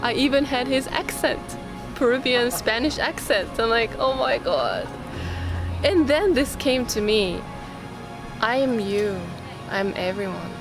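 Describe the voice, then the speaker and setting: A woman's voice: on the high side, animated, unhurried. A woman describing her experience in a dreamy, awed way, full of "like" fillers. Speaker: ceremony participant. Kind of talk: personal testimony. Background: loud music.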